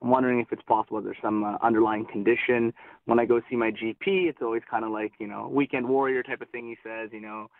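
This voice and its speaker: A thirty-year-old man calls in over a thin, tinny phone line. His voice sits at a middle pitch and he talks casually, pausing on fillers as he goes. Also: fairly even intonation, no echo. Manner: casual, halting, with many fillers. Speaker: caller.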